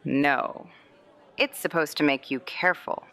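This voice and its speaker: Woman playing an imperious, slow-spoken noir matriarch. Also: sits low, sharp tone, heavily acted. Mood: cool menace. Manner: arch, deliberate, theatrical. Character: imperious, sardonic powerful mother with veiled menace. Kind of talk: noir audio drama acting.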